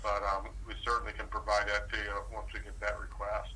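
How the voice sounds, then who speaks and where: An American man with a lowish but very sharp voice talks over a distant, tinny telephone line. He explains things in an informal official way, measured and helpful, pausing between phrases. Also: completely flat delivery. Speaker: city parks department manager. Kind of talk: informal official phone conversation.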